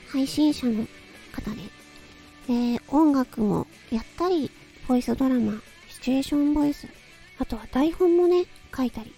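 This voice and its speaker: A woman presents a personal audio broadcast in a high, soft-toned voice with a mellow edge. She talks politely and effusively, leaning on words for emphasis, with faint music behind her. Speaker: host of a personal audio show. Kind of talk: chatty solo audio broadcast, birthday tribute.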